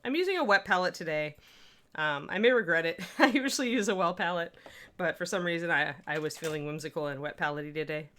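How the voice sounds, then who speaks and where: A woman with a Midwestern accent talks through a painting livestream in a loose, rambling way, answering chat as she works. Her voice sits fairly low for a woman but sounds bright and forceful. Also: fast talker. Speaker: miniature painting streamer. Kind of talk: casual livestream painting tutorial.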